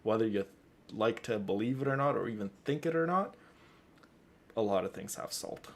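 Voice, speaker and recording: A man's voice: lowish voice, animated, normal speed. A man doing casual, rambling and emphatic live commentary as he plays a game, easily amused. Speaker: game streamer.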